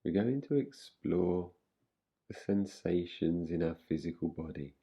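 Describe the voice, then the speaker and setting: Slow, low, gravelly male meditation guide. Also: expressive, muffled recording. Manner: unhurried, soothing, gentle instruction with long pauses. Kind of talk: guided relaxation meditation, yoga nidra.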